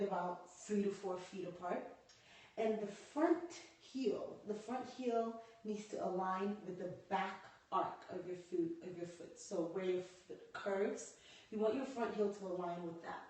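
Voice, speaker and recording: Hoarse woman yoga teacher, cueing a pose as she does it, heard from across a very echoey room. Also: medium pitch, a little mellow. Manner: talks through poses while demonstrating, stops to greet viewers. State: hoarse from a cold. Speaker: yoga instructor. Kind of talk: live-streamed yoga lesson. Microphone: camera set back across the room. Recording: echoey room, voice far from the mic.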